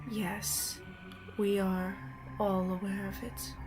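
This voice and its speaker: A woman's voice: mid-range voice, level tone, takes it slowly, a little mellow, faint music behind, close to an everyday voice. A woman voicing a troubled, solemn princess in an amateur fantasy machinima, with formal, grave delivery. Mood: resigned, grave.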